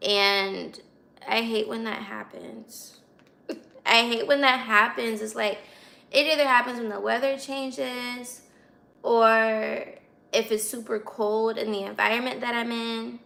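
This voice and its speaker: A woman in her twenties with an American accent and a raspy, slightly hoarse voice, chatting casually to livestream viewers. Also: on the high side, steady tone, takes it slowly, fairly bright tone. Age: 20s. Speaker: livestream host.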